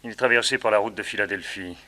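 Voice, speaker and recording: An actor playing a calm, menacing villain in a French radio serial, suave and mock-polite in his measured delivery. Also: on the low side, low-quality recording. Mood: conspiratorial.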